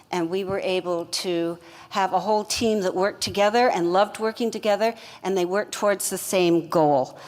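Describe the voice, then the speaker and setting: Older woman, co-founder guest, unhurried storytelling, ringing reverb. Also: lowish voice. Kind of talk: interview storytelling.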